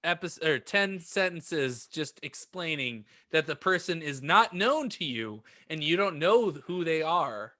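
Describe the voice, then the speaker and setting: Bright, slow-talking, higher-voiced sarcastic man. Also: lively intonation, dry recording, clean studio sound. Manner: sarcastic, jokey. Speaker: podcast co-host. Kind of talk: casual banter.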